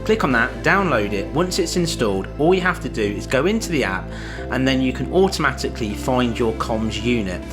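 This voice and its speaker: A man giving a scripted step-by-step product installation guide, explaining clearly as a reviewer. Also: medium pitch, very animated, a little fast. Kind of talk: scripted instructional narration. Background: background music.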